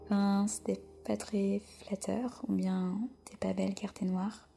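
A soft-spoken French woman, a podcast guest, answering slowly with many hesitant pauses in a whispery voice. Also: medium pitch, flat delivery, vocal fry, a little hiss or hum. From France. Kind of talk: podcast interview.

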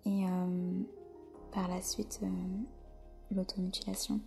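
Soft, hesitant woman with a dark gravelly voice. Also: fairly low pitch, little pitch movement, faint music behind. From France. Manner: soft-spoken, hesitant, many pauses. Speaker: guest. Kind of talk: podcast interview.

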